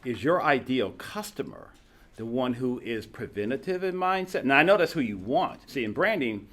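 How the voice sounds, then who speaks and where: A man's voice: medium pitch, big pitch swings, moderate pace, fairly bright tone. A man hosting an interview, coaching his guest on branding and explaining with everyday examples. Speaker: podcast host and branding coach. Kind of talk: casual interview chat.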